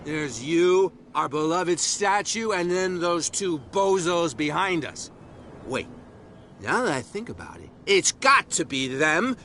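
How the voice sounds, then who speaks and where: Chatty gossipy boat drunk, bright voice that bounces up and down. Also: male voice, fairly high pitch, expressive, takes it slowly, slight background noise, heavily acted. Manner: chatty, conspiratorial. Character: jumpy, talkative male out-of-work island drunk. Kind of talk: video game voice acting.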